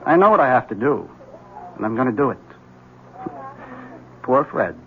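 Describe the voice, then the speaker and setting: An actor playing a bitter, obsessed husband in a 1970s radio drama, sardonic and intense, on a tinny recording. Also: male voice, medium pitch, sing-song, normal speed, slight background noise. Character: obsessed, bitter husband convinced his wife is evil.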